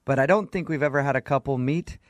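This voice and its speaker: A man's voice: mid-range voice, quick pace. A man hosting a morning radio show, bantering and steering the conversation.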